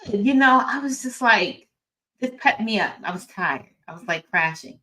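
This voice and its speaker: A woman's voice: medium pitch, lively intonation, moderate pace, bright, forceful tone, low-quality recording. A middle-aged woman, an author and educator, talking informally as a guest speaker. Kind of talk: informal introduction and personal talk.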